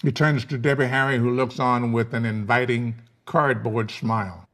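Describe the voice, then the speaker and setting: Mid-pitched man narrating a script. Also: fairly even intonation, normal speed, a bit bright. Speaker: narrator reading stage directions.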